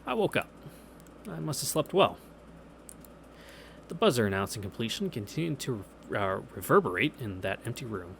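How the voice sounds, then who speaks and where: A man's voice: mid-range voice, sing-song, moderate pace, gravelly, pauses often, a little hiss or hum. A man reading through a visual novel in a casual, laid-back way, with wry asides. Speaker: visual novel let's player. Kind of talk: casual read-through with reactions and anecdotes. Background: video game music.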